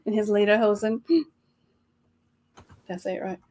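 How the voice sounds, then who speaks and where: A woman's voice: mid-range voice, moderate pace, a little mellow. A middle-aged woman, hosting a casual live stream and chatting with viewers while laughing often.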